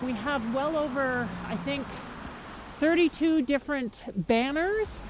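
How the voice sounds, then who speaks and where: A woman's voice: sits high, slow pace, background noise. A woman who runs a business association, speaking with enthusiasm in a documentary interview. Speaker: business association executive director.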